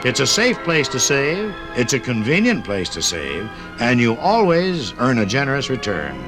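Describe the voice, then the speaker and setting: A man's voice: medium pitch, huge pitch swings, normal speed. An American man reading a scripted 1950s radio commercial as the sponsor's announcer. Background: music.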